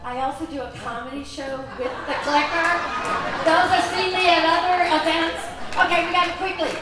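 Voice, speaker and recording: A woman's voice: very high-pitched, steady tone, moderate pace, over a noisy crowd, very noisy. A woman originally from Greece, giving a chatty talk to a convention audience and often asking them questions. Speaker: convention speaker. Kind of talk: presentation to an audience. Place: large convention hall. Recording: echoey hall, voice from far off.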